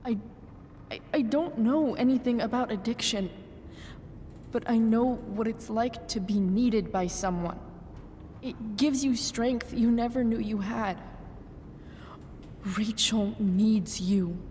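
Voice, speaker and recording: Loyal, blunt teen girl for game drama: halting, pleading, unhurried delivery, reverberant room. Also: a little high, warm tone, slight background noise. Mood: earnest pleading. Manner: halting, sincere, sometimes profane. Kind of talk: dramatic video game cutscene acting.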